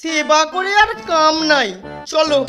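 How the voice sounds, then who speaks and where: A woman's voice: high-pitched, measured pace, pushed and bright. A woman voicing a clever, cheeky young boy in a cartoon. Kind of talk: animated cartoon dubbing. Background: cartoon background music.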